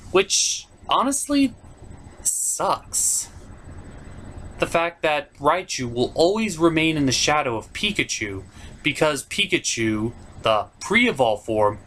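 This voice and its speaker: A man's voice: mid-range voice, sharp tone, slight background noise. A man hosting a top 10 countdown, giving opinionated video game commentary in an informal, emphatic way. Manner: informal, emphatic, with mild swearing.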